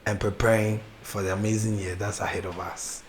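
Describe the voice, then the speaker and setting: A young Ghanaian man with a Ghanaian accent, a gospel artist chatting as an interview guest, soft-spoken, laughing easily and often restarting his sentences. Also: fairly low pitch, flat delivery, a little fast, a little hiss or hum. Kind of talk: casual interview chat.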